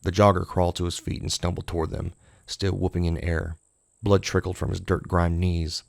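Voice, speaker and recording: Male horror narrator, very low and creaky, steady delivery, music underneath. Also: normal speed, no room sound, studio quality. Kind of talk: scripted horror audiobook narration.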